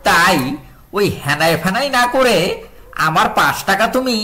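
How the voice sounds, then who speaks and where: A man's voice: sits high, expressive, normal speed, pushed and bright. An actor voicing a clever, stubborn male wise man in a cartoon, pleading and insisting on what he is owed. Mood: impatient.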